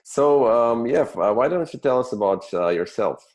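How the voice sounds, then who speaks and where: A man hosting a casual interview show, speaking in a somewhat halting way. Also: mid-range voice, lively intonation, darkish tone. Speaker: podcast host.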